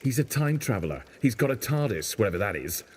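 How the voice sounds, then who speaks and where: A man's voice: on the low side, very animated, fast talker, slight background noise. A man playing a reluctant, sceptical survivor stranded on an alien planet in an audio drama, speaking in an insistent, dismissive way. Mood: grave, sceptical.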